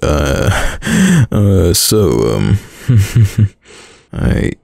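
A man playing a shy, smitten young student in an intimate boyfriend roleplay, deep-voiced, with a hesitant, stammering manner. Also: huge pitch swings, drawn-out words, gravelly, a little mellow, no echo, clean studio sound, exaggerated voice. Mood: flustered. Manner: hesitant, stammering, nervous chuckles. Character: shy, smitten young male student gamer, a caring crush.

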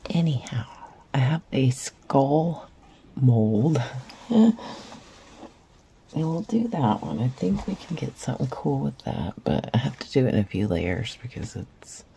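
Craft host, woman, hoarse and gravelly, casual self-mocking ramble, slight room hum. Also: extremely low pitch, big pitch swings, measured pace, dark tone. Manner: rambling, self-deprecating, stammers and repeats. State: raspy from cheering at a concert. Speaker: resin craft channel host. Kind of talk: casual chat while crafting. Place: craft table indoors.

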